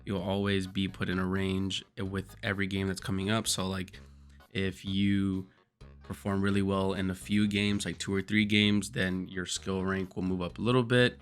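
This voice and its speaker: A man with a hoarse voice from screaming, giving rambling, profane, jokey gaming commentary as a streamer. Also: low-pitched, little pitch movement, moderate pace, faint music behind.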